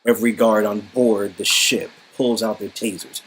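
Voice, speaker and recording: A man's voice: lowish voice, steady tone, normal speed, fairly bright tone, low-quality recording. A man narrating a story dramatically, in present tense, with some noise behind him.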